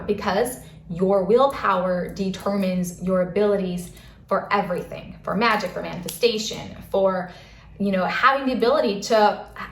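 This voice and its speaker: Soft-spoken woman teaching in a roomy space. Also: medium pitch, slight background noise. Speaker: spiritual channel host. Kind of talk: casual spiritual teaching to camera. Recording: roomy echo, faint music.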